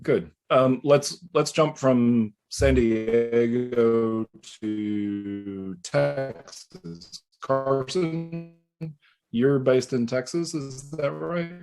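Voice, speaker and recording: A man's voice: mid-range voice, extremely slow, darkish tone, soft background music. A man hosting a casual video call, chatty as he introduces and questions guests. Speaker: host.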